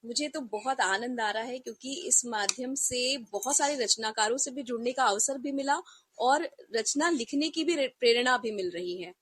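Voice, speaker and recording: A woman's voice: a little high, normal speed, a bit bright, slightly rough. A woman co-hosting a live online show in casual conversation. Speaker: co-host.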